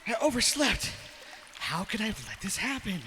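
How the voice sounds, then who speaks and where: An actor playing a flustered young knight in an audio drama. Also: male voice, sits high, sing-song, moderate pace, bright, forceful tone, slight background noise, full character voice. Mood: panicked, self-reproaching. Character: flustered young male knight waking in a panic.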